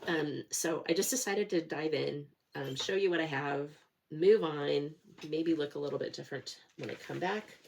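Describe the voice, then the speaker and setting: Woman, middle-aged, very low voice, nasal with a cold, chatty crafting vlog, faint hiss behind. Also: moderate pace, vocal fry, darkish tone. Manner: chatty and rambling, self-deprecating, many fillers. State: nasal from a cold, sore throat. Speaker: cross-stitch vlogger. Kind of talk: casual chat to camera.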